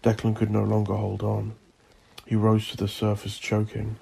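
A man narrates dark fiction in a dramatic, theatrical style. His voice is dark and gravelly, rising and falling very widely with an intense, husky delivery. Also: fairly low pitch, completely flat delivery, normal speed. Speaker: audiobook narrator. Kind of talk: dramatic scripted horror narration.

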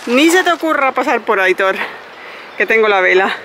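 A woman on a sailboat narrating in a brisk, matter-of-fact way as she takes down the sails. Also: a little high, lively intonation, sharp tone, slight background noise, poor audio. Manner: matter-of-fact, brisk, talks to her dog. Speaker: sailing vlogger. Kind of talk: casual narration while working. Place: outdoors on a sailboat.

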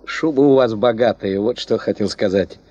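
Husky, cheeky working man played by an actor. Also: lowish voice, normal speed, warm tone, highs cut off, natural voice. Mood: cheeky, forthright. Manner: familiar, folksy, forthright. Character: plain-spoken, cheeky, philosophical male gold-pit digger. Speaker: radio drama actor. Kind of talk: 1970s Soviet radio drama acting.

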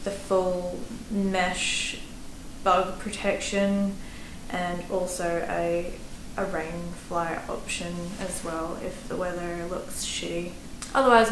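Australian woman in her 30s, chatty practical hiking vlogger, mid-pitched voice, echoey room with a faint hiss. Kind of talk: casual gear advice to camera. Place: small room indoors. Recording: roomy echo, faint background noise.

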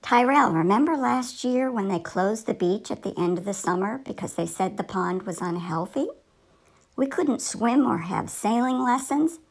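A woman's voice: mid-range voice, moderate pace, creaky, poor audio. A woman voicing a keen, studious fifth-grade schoolgirl in a scripted children's puppet show. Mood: earnest.

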